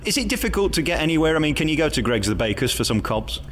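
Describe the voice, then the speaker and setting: Fast-talking English male interviewer. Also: a little high. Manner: flattering, cheeky. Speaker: radio show host, interviewer. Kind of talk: jokey celebrity interview. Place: theatre dressing room. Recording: reverberant room with clear background noise.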